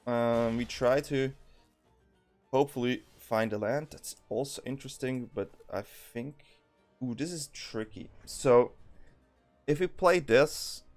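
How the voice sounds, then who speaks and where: A young man with a Swiss accent giving casual, thinking-aloud commentary as he plays a card game, with frequent ums and restarts. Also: mid-range voice. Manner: thinking aloud, many ums and self-corrections.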